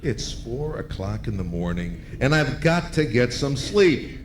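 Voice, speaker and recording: A grumbling, sarcastic husband of medium pitch in old-style radio comedy. He sounds sleepless and exasperated with domestic life. The live stage recording carries background noise. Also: big pitch swings, unhurried. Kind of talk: old-time radio comedy sketch acting. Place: live stage in a hotel ballroom. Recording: noisy live event recording.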